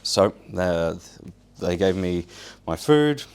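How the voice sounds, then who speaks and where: A man's voice: low-pitched, expressive, slow, clean tone. A man whose first language is Polish, giving an informal first-person talk to an audience in a casual, self-deprecating, wry manner. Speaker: guest speaker, survival show winner.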